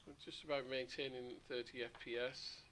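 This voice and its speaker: A man streaming a flight simulator, chatting casually with rambling asides, his voice a little hoarse. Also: mid-range voice, level tone, normal speed, fairly bright tone, slight background noise. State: slightly hoarse. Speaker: flight sim livestreamer. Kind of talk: casual livestream commentary.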